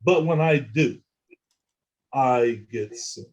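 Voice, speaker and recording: A man telling his own experiences as an interview guest, halting and often repeating words as he restarts sentences. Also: medium pitch. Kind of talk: casual first-person account.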